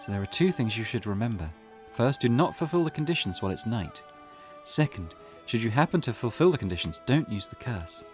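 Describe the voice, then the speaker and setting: A man voicing a cautious, protective teenage schoolgirl who explains things in a measured, earnest way in a visual novel. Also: mid-range voice, lively intonation, lightly acted. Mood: serious advice. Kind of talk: character voice reading a visual novel. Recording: music in the background.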